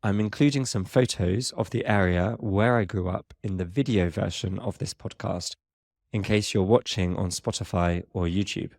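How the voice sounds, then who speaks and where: Low-voiced southern English man, measured. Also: darkish tone, dry recording, studio quality. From England. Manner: clear, measured, explanatory delivery. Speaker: English teacher and podcast host. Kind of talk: scripted podcast narration for learners.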